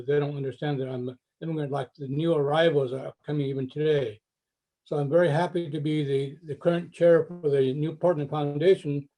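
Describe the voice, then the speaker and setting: Older man, mellow voice. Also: a little high, rough recording. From Japan. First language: Japanese. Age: 70s. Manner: modest, self-deprecating, with frequent restarts. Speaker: foundation chairman. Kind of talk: personal introduction speech.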